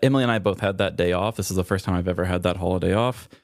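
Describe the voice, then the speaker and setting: A man's voice: low-pitched, rapid-fire, no room sound. Man telling casual stories, dry close sound. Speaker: podcast co-host. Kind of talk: casual comedic storytelling.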